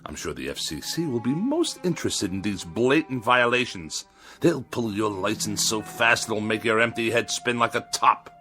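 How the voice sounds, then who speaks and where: An irascible, haughty middle-aged misanthrope for radio drama. His voice is forceful and very animated, with indignant, sarcastic bite, over faint music. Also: fairly low pitch, normal speed. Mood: scornful.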